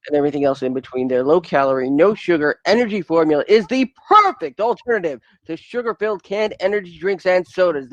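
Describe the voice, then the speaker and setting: A man's voice: high-pitched, a bit bright, no echo. A man reading a sponsor ad in an upbeat promotional way. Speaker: ad announcer. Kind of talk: read sponsor ad.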